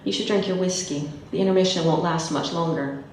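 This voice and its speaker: A woman reading the part of a smooth, confident Southern American man, in her own low voice, recorded from a distance in an echoey room. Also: a little fast, darkish tone, close to an everyday voice. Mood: cool, polite. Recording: echoey room, mic far off, faint noise.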